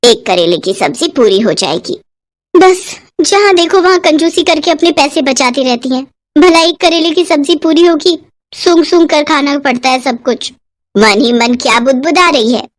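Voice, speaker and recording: A woman's voice: high-pitched, lively intonation, normal speed, pitched above the performer's own voice. A woman voicing a stingy, scolding middle-aged mother-in-law in a cartoon, with a bossy, penny-pinching way of talking. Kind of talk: cartoon voice acting.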